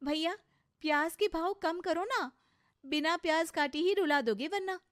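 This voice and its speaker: A woman's voice: very high voice, a little slow, a bit bright, pitched above the performer's own voice. A woman voicing a clever young daughter-in-law in a cartoon moral story, assertive, righteous and slyly pointed.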